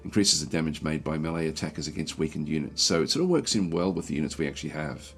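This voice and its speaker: Male streamer, very low gravelly croak, thinking aloud in halting casual game commentary, faint music behind. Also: fairly bright tone. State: croaky throat, recovering from feeling unwell. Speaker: livestream host playing a strategy game. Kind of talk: casual game commentary, reading and answering chat.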